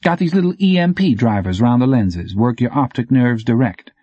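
Man playing brash street-smart courier, pitched way up. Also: lively intonation, moderate pace, dark tone, slightly rough, dry recording, muffled recording. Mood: explaining, amused. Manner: slangy, clipped, needling. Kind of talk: audiobook character dialogue.

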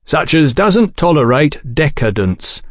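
A man voicing a tall, calculating Victorian rough with an insolent, slangy way of talking. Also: mid-range voice, expressive, normal speed, no room sound, clean studio sound, pitched above the performer's own voice, lightly acted. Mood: righteous, menacing.

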